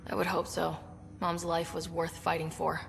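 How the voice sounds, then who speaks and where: A woman's voice: on the low side, little pitch movement, normal speed, soft background music. An actress playing a young female explorer in a video game, casual, wry and direct. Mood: reflective. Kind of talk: scripted video game dialogue. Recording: reverberant space, clear background noise.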